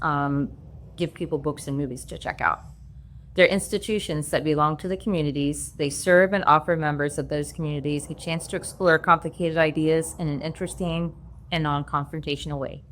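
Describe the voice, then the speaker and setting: A woman's voice: low voice, a bit bright. A middle-aged woman, a library facilities director, reading prepared remarks in an earnest way with frequent hesitations.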